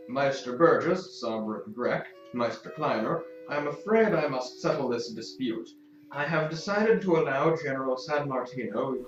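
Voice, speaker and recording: A man's voice: medium pitch, echoey room, muffled recording, close to an everyday voice. An actor playing a hesitant, conciliatory chairman of a noble council in an audio drama, with polite, formal speech.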